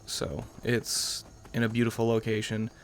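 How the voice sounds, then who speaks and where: Young adult American male gaming host, creaky mid-range voice, loose conversational rambling, slight background noise. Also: fairly low pitch, completely flat delivery, measured pace. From New York, United States. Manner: rambling, self-qualifying. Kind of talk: casual let's-play commentary.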